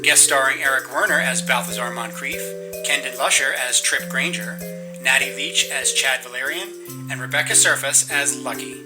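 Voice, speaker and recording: A man's voice: medium pitch, fairly even intonation, moderate pace, over music, low-quality recording. A man announcing scripted show credits in a narrator's delivery.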